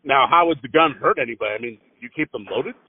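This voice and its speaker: A man asking casual questions as an interviewer on a phone call, in a halting, hesitant and rambling way. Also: medium pitch, expressive, breathy edge. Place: telephone call. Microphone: phone line. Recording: narrow muffled phone-line audio.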